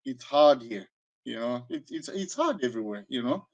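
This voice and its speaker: A sixty-year-old man with a southern African accent, reflecting in conversation as an interview guest, halting and often repeating himself with frequent "you know". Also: medium pitch, sing-song, a little mellow, muffled recording. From Zambia, born in South Africa. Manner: halting, searching delivery with frequent repetitions and 'you know'. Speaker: interview guest, karate teacher.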